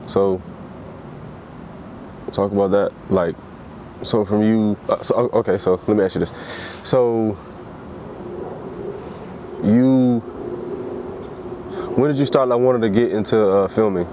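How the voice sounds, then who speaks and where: A man with a lowish voice hosts a relaxed interview. He asks his questions in a loose, halting way, often restarting mid-thought and reaching for fillers. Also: background noise. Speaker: interview host. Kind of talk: casual interview chat.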